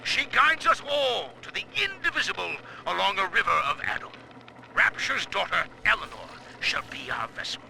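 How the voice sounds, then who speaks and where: An actor playing a zealous cult preacher, delivering a grandiose, incantatory sermon for a video game. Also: male voice, sits high, big pitch swings, moderate pace, creaky, sharp tone, slight background noise, full character voice, rough recording. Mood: reverent. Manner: incantatory, grandiose, rising to cries. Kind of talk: theatrical sermon.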